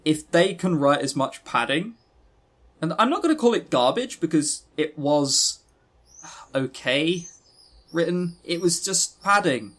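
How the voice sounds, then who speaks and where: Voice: mid-range voice, lively intonation, a little slow, fairly bright tone. A podcast co-host chatting casually about games in an exasperated, emphatic, sweary way. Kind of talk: casual visual novel review chat.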